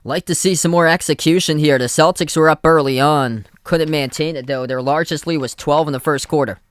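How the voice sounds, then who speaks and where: A man's voice: a little high, a little fast, smooth, no echo, clean studio sound. A young man with a Boston accent doing live play-by-play commentary for a streamed basketball game, calling the action in short clipped phrases.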